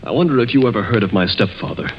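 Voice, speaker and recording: Bitter broke chemist, old radio mystery, lowish halting voice, muffled and a bit noisy. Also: male voice, expressive, vocal fry. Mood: brooding, confiding. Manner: halting, earnest, brooding delivery. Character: tense, bitter, struggling chemist, proud and desperate. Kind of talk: 1940s radio mystery acting.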